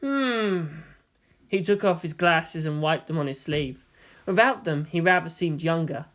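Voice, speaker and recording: Audiobook-style narrator, mid-pitched voice. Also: moderate pace, fairly bright tone. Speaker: story narrator. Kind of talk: dramatised fan fiction reading.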